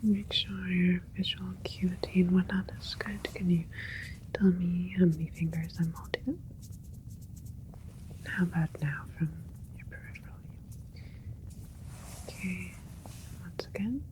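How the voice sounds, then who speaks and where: A woman playing a calm, clinical alien scientist in a sci-fi ASMR roleplay, speaking in a soft, breathy whisper with a gentle, methodical manner. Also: on the low side, measured pace, pauses often, slight background noise, lightly acted, poor audio. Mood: attentive, clinical. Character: calm, clinical alien scientist doctor examining their creation.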